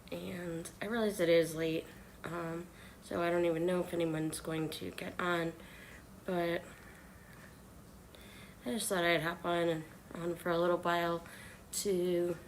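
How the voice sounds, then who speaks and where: Hoarse woman, soft-spoken, slow unhurried talk, lowish voice, faint background hiss. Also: low-quality recording. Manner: halting, many ums and uhs. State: hoarse from illness. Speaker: knitting livestreamer. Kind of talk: soft-spoken live stream chat.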